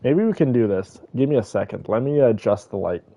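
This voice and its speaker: A man's voice: medium pitch, animated, fairly quick, mellow. A man hosting a casual livestream, chatting familiarly with viewers as if they were friends. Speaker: livestream host. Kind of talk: casual livestream chat, reading names and greeting viewers. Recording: dull lo-fi audio with music behind. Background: background music.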